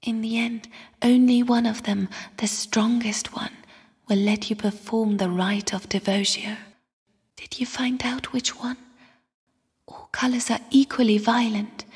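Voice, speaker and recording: Breathy, whispering spirit woman in deep reverb. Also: exaggerated voice. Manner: slow, hushed, solemn delivery. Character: mysterious, sorrowful young spirit sister of the void. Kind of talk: video game character voice acting. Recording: long cavernous reverb.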